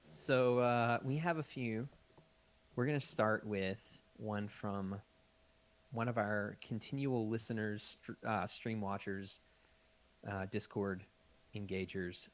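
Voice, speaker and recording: A man's voice: lowish voice, steady tone, a little slow. A man chatting casually as the host of a podcast. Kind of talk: casual live podcast chat.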